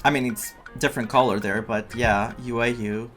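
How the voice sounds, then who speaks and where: A woman's voice: rumbling low, fairly even intonation, moderate pace, fairly bright tone, soft background music. A woman giving casual, informal reaction commentary as a game streamer.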